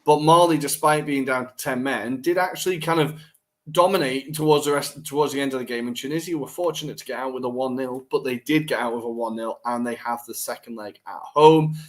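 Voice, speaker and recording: A man's voice: medium pitch, brisk. A man co-hosting a podcast, chatting casually and bluntly through a football recap and laughing easily. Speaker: podcast co-host.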